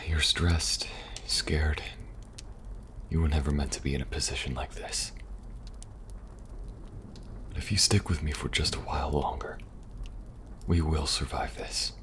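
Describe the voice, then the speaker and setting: A man playing a calm, protective young special agent in an ASMR audio roleplay, speaking softly and reassuringly with dry humor and a gravelly, at times breathy voice. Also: a bass voice, unhurried, pauses often. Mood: gentle, reassuring. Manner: soft, hesitant, reassuring, dryly humorous. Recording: audible background noise, ambience.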